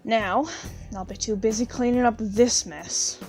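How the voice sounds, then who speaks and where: A woman's voice: on the high side, unhurried, a little mellow, soft background music. A woman playing a poor, plain-spoken country widow, bitter and unsentimental, in an audio drama. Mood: matter-of-fact.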